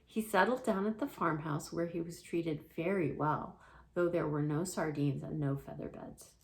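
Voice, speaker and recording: A woman's voice: deep voice, normal speed. Woman reading a children's story aloud.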